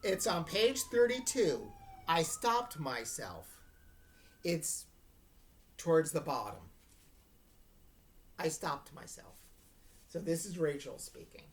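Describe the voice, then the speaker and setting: A woman's voice: sits low, very animated, slow pace, pauses often. A woman teacher reading a novel aloud to a class, explaining as she goes and checking understanding with questions. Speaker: English teacher. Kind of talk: dramatic classroom read-aloud with questions to students.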